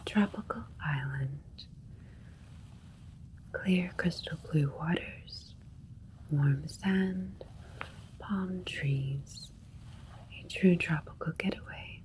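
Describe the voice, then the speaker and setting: A woman voicing a calm, clinical female alien doctor in an ASMR roleplay, soothing and methodical in a soft, breathy whisper. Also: deep voice, very slow, long pauses, low-quality recording.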